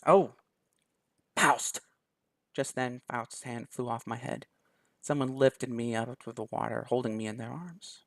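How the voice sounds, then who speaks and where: Gravelly man reading a visual novel. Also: mid-range voice, a little slow, long pauses, no room sound, studio quality. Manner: dramatic reading, excitable and gushing in commentary. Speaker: let's play host.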